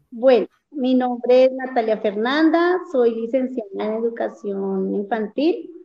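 A woman's voice: a little high, highs cut off. A woman preschool teacher introducing herself in a cheerful, spontaneous way. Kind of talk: casual self-introduction.

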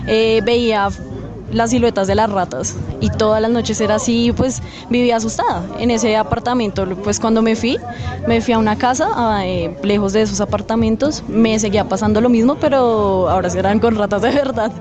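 A young woman telling a childhood story in a street interview, pausing hesitantly. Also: fairly high pitch, steady tone, crowd noise. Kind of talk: street vox pop, storytelling.

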